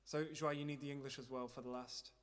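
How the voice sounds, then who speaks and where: A man's voice: medium pitch, little pitch movement, quick pace. A man hosting a livestream living room concert, chatting casually and organising his fellow musicians. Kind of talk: casual chat between songs, addressing online viewers.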